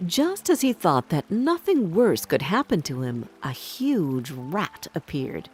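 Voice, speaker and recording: A woman's voice: low-pitched, big pitch swings, slow pace, darkish tone, husky. A woman narrating a scripted fairy tale for children as a storyteller. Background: background music.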